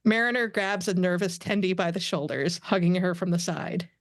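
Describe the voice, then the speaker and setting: A woman's voice: lowish voice, normal speed, no echo, clean studio sound. A woman narrating a script at a casual table read.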